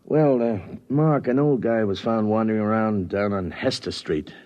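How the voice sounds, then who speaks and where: Guy playing plain talking cop sergeant, lowish voice, easygoing, dull old 50s radio sound. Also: darkish tone, lightly acted. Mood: matter-of-fact. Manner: matter-of-fact, unhurried, colloquial. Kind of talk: 1950s radio drama acting. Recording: muffled vintage radio recording.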